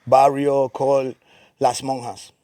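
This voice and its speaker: A man from Rico, a wrestling guest answering interview questions haltingly, with many restarts. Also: mid-range voice, little pitch movement, mellow, no echo. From Puerto Rico.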